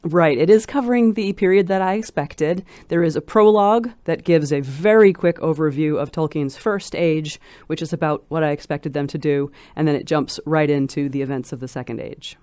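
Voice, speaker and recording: A woman scholar giving considered commentary as an interview guest, speaking in an articulate, measured and emphatic way. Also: low-pitched, darkish tone, no echo, clean studio sound.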